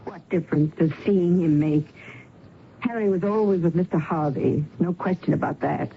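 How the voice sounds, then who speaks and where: Defensive, grieving widow played by an actress, halting and indignant, old radio drama, muffled with background noise. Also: female voice, fairly low pitch, close to an everyday voice. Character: distraught, defensive widow of a missing man. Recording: dull, noisy old radio recording.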